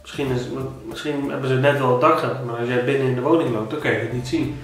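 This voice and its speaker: A man in his thirties with a Dutch accent, giving home-buying tips in a casual, animated vlog with many restarts. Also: medium pitch, quick pace. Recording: very echoey room, a bit distant.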